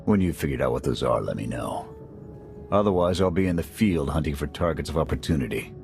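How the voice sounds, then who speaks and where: An actor playing a gruff, blunt military squad leader in a video game, terse and stern in his delivery. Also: a bass voice, lively intonation, brisk, music in the background. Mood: grudging.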